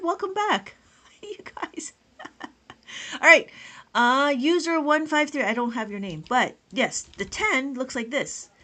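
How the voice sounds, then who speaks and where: A woman's voice: a little high, a bit bright. A woman teaching abacus math on a live stream, chatting with viewers, greeting them by name and counting numbers aloud.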